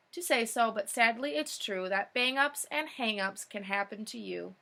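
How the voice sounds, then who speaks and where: A woman's voice: high-pitched, bright, forceful tone. A young woman, a teacher, reading a children's picture book aloud expressively, with short informal asides.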